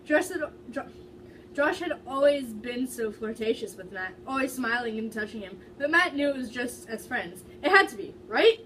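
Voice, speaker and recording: Higher-voiced woman reading aloud, off-mic. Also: lively intonation, normal speed, fairly bright tone. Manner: stumbles over words while reading. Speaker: amateur reader. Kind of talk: dramatic fan fiction reading, casual and giggly. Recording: distant mic, faint background noise.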